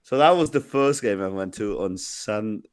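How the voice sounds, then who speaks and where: Give me a sick man co-hosting a podcast, low-pitched and rambling. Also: animated, moderate pace, clean tone, dry recording, studio quality. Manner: rambling storytelling with many restarts. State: sick, deeper voice than usual. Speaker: podcast co-host. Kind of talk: casual podcast chat.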